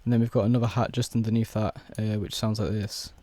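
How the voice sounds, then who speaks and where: A young man with a hoarse, worn voice, casually walking through a music production tutorial step by step with plenty of fillers. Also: on the low side, little pitch movement, quick pace, dark tone. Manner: laid-back, explains step by step with many fillers. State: hoarse, losing his voice.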